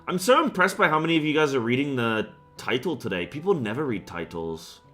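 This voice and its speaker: Young guy streaming, bright voice, rambly, tons of likes and ums, faint music behind. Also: mid-range voice, sing-song, fairly quick. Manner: rambling, many fillers, gets worked up when ranting. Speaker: game streamer. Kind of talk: casual live-stream chat.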